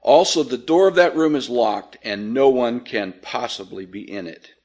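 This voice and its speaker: A man's voice: mid-range voice, normal speed, a little mellow, natural voice. A man voicing a grave, self-possessed widowed country magistrate in an audiobook, speaking formally and firmly. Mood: firm, uneasy. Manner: firm, formal, clipped. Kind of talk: audiobook character voice.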